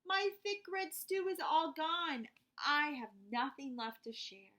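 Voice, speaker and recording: A generous, kindly cook from a picture book, voiced by a woman storyteller. She speaks in a high, bright voice with slow, drawn-out phrases and big expressive swings. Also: full character voice. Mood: rueful, sorry.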